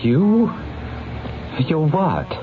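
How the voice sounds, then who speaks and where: A cornered, weak-willed man in a vintage radio mystery. He protests hesitantly and stammers in a highish voice that jumps up and down. The recording is narrow and phone-like. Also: huge pitch swings, extremely slow, a little hiss or hum, full character voice. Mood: incredulous. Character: flustered, indignant embezzling male employee, weak-willed. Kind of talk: 1970s radio mystery acting. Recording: narrow-band vintage radio, music behind.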